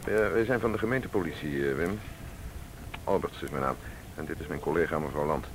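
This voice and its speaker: An actor playing a calm, suspicious male police detective in a radio drama, questioning in a measured, formal way. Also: extremely low pitch. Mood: probing, polite. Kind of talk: Dutch radio drama acting. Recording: noisy radio play, vehicle ambience.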